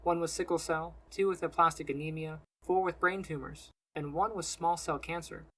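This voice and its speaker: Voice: mid-range voice, fairly even intonation, moderate pace, sharp tone, poor audio. A narrator voices a young male healer from an audiobook fantasy. He speaks drily and with restraint, solemn and compassionate, with a righteous streak. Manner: dry, restrained.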